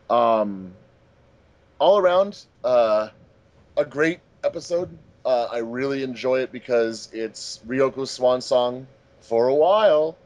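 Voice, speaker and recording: A man's voice: mid-range voice, darkish tone, muffled recording. A man hosting a casual group commentary, talking in a rambling, hesitant and complimentary way. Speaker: host of a fandub commentary track.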